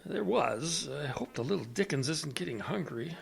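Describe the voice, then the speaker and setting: Man playing eccentric inventor major, lively pitch. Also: moderate pace, creaky. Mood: earnest. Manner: hesitant, thinking aloud, explanatory. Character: blinded inventor major, eccentric, absent-minded scientist. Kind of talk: audio drama acting.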